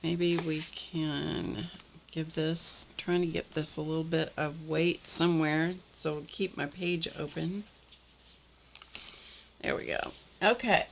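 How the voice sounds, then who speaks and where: A middle-aged woman talks slowly through a live art journaling demonstration, her voice a little croaky. She speaks in a relaxed, chatty way as she works with paper and glue. Faint noise sits behind her voice. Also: sits low. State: slightly croaky voice.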